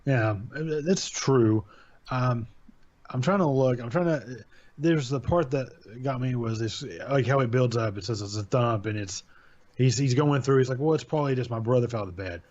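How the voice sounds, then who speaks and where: A man's voice: mid-range voice, moderate pace, a little mellow. Laid-back young man on a dull home mic. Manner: rambling, laid-back, self-correcting. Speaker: channel host reviewing horror stories. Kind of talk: casual chat. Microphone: desk mic with pop filter.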